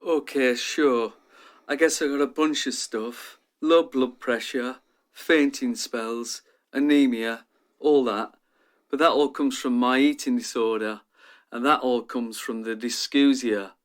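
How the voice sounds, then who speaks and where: A man's voice: mid-range voice, fairly even intonation, unhurried, natural voice. An actor playing a troubled, self-deprecating young male patient in a radio drama, talking in a downbeat, matter-of-fact, colloquial way. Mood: resigned. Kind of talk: radio drama monologue, interview-like.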